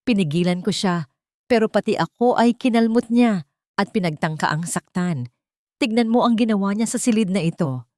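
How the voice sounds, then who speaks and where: A woman's voice: medium pitch, animated, moderate pace, darkish tone, dry recording, studio quality, close to an everyday voice. A woman voicing a hysterical, scheming rich woman who plays the victim in dramatic, pleading tones for an audio drama. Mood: tearful accusing.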